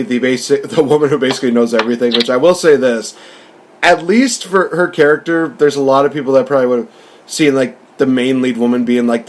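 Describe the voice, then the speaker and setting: A man with a mid-pitched voice in relaxed film discussion as a co-host. He speaks colloquially and thinks aloud, wandering through his points. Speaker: podcast co-host reviewing films. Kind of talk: casual, conversational film discussion.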